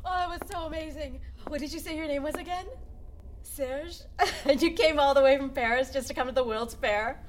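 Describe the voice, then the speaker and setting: Actress as a very high-pitched, gushing young woman. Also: brisk, a little hiss or hum, close to an everyday voice. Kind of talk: audio drama acting.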